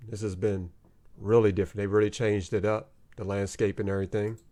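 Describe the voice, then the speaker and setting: A man's voice: lowish voice, flat delivery, a little fast, warm tone. A man giving offhand, joking reaction commentary while watching a dating show. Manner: offhand, wisecracking.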